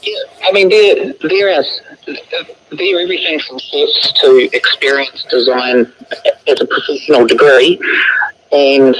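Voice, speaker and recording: A man's voice: mid-range voice, a little slow, a bit bright, slightly rough. A man with a New Zealand accent explaining things hesitantly as a lecturer in a radio interview. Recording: noisy shortwave radio playback.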